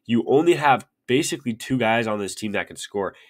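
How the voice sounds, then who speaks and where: A man's voice: medium pitch, expressive. A young man who says he is sick, talking casually to his webcam about betting picks with lots of "literally" and "like". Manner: rapid, filler-heavy, repeats "literally". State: sick, sniffly. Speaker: sports betting picks creator. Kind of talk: casual talk to camera. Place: small room indoors.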